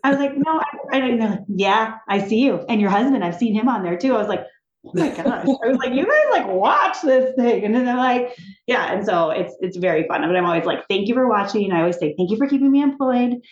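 A woman talking as a guest in a casual interview, animated and chatty, often laughing and restarting her sentences. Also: on the high side, brisk. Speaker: TV executive, podcast guest. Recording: echoey room, dull sound.

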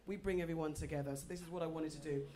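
A woman's voice: low-pitched, fairly even intonation, racing, warm tone. A middle-aged woman hosting a live podcast, bold and playful, swearing freely. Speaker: podcast host. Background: live audience.

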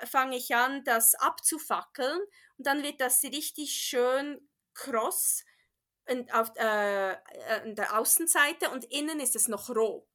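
Slow, halting Swiss woman. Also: high-pitched, sharp tone. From Switzerland. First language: Bernese Swiss German. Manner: halting, searches for words, slips into English phrases. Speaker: podcast guest. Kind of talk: casual interview chat.

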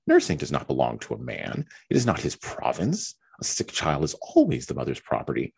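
A man voicing a calm, sensible young woman who speaks in a gentle, reassuring way. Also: very deep voice, fairly quick, gravelly, husky, exaggerated voice. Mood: soothing.

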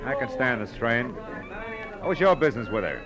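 An actor playing a wry, hard-boiled private eye with a dry, clipped delivery in 1940s radio noir style. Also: male voice, mid-range voice, very animated, a little fast, music in the background, lightly acted. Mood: cool, needling.

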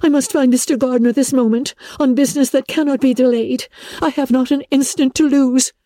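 A woman performs an impetuous young lady of a period storybook in great distress. Her voice is lifted in pitch and urgent, exclaiming in hurried, agitated phrases with a dry, close sound. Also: steady tone, dark tone, dry recording, close to an everyday voice. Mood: frantic, urgent.

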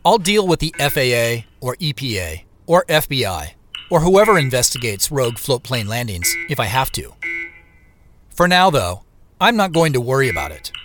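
A man playing a reflective, determined amateur investigator, narrating an audio drama in the first person in a deliberate, questioning manner. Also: mid-range voice, big pitch swings, fairly bright tone, a little hiss or hum. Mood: focused, determined. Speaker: lead actor and narrator.

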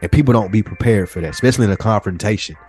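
Low-voiced man chatting over music. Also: racing, a little mellow. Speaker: podcast co-host. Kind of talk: casual conversation.

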